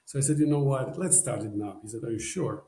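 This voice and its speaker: A man's voice: medium pitch, fast talker, mellow, reverberant. A man from Poland with a Polish accent, a podcast guest telling a story in casual, rambling talk full of "you know" fillers. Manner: rambling, many "you know" fillers, non-native phrasing.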